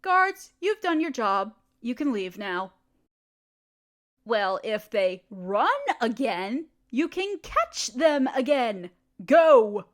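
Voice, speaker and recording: A woman's voice: on the high side, sing-song, slow pace. A woman voicing a proud, cold evil queen who rules an empire, speaking in a haughty, clipped way that turns reluctantly tender. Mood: commanding. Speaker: voice actor in an audio drama. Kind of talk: audio roleplay acting.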